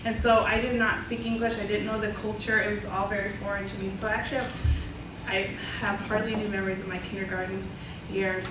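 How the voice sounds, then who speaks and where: A woman whose first language is Spanish, from Idaho, telling her story to an audience in a relaxed way with many ums. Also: medium pitch, quick pace. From Idaho, USA. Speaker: panelist, ESL instructor. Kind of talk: personal talk to an audience. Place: college symposium hall. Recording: echoey hall, mic far off, noisy.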